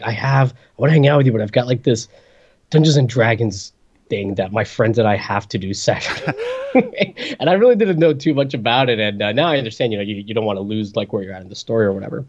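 A man's voice: on the low side, quick pace, a little mellow. Stuffy-nosed guy cohosting a podcast, punny and chatty, muffled lo-fi audio. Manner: playful punning, lots of fillers and restarts. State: congested. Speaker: podcast co-host. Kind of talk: casual podcast banter. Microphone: webcam setup, remote recording.

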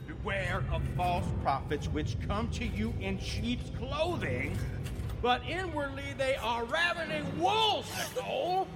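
An actor playing a coarse, bullying male cult deprogrammer in an audio drama, gruff and swearing. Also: extremely high pitch, animated, a little slow, over loud music, heavily acted. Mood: preaching.

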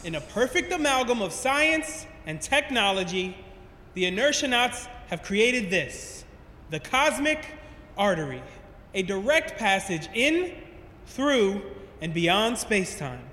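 Cocky scientist, high bright voice. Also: male voice, very animated, unhurried, exaggerated voice. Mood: grandiose. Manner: grandiose, teasing. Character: cocky, theatrical, wisecracking male lab scientist. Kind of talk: audio drama acting. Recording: echoey reverberant lab sound, faint noise.

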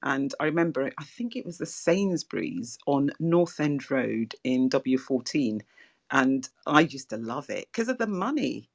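A middle-aged woman with a soft voice, hosting a casual property chat over a video call, chatty and laughing often. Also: rumbling low, very animated, a little slow, gravelly. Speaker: property podcast host. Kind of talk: casual online interview chat.

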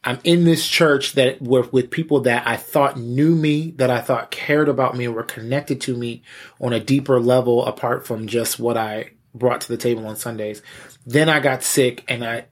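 Man, husky voice, medium pitch, pastor, candid personal testimony, halting conversational delivery, repeated words. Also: fairly even intonation. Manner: halting, repeats words, frequent "you know". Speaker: pastor and church musician, interview guest.

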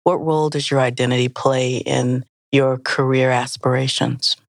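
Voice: mid-range voice, level tone, normal speed, creaky, dry recording. Interviewer with a dry, close sound. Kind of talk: measured interview questions.

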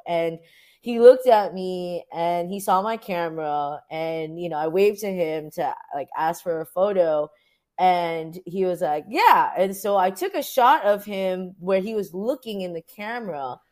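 A woman's voice: lowish voice, a little mellow. An American woman being interviewed as a photographer, talking casually and informally, thinking aloud as she goes. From Orange County, United States.

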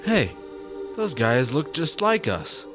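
A man's voice: mid-range voice, big pitch swings, takes it slowly, over music. A man playing an earnest, hesitant squad partner in a sci-fi comedy audio drama. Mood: awed.

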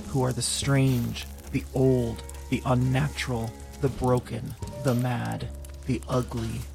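A woman voicing a solemn inner voice that urges defiance, speaking in slow, measured phrases. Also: vocal fry, full character voice. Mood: defiant. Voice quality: low and distant, spoken slowly. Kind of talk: audio drama acting. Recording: heavy music bed, distant voice.

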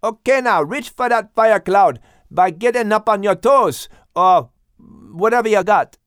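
A man's voice: high voice, unhurried, clean tone, no echo, studio quality, voice pushed up. A man voicing an upbeat storybook flamingo aerobics instructor with a peppy, encouraging manner.

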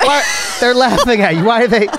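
A podcast host with a cold, talking in quick, excited bursts and joking around in casual chat. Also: mid-range voice, a loud crowd, heavy background noise. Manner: excitable, fast-talking, jokey. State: has a cold.

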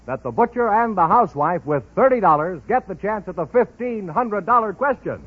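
A man's voice: high-pitched, lively intonation, brisk, very noisy. An American man announcing a 1940s radio show in the scripted style of the era. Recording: muffled old radio broadcast.

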